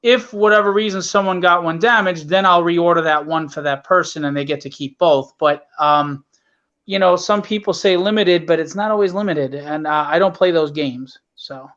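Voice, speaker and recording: A man's voice: a little high, normal speed, a bit bright, lo-fi sound. A man chatting casually as a guest about his own creative work, in an emphatic way with short repeated phrases. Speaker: comic book creator, guest. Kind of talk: casual chat promoting own book.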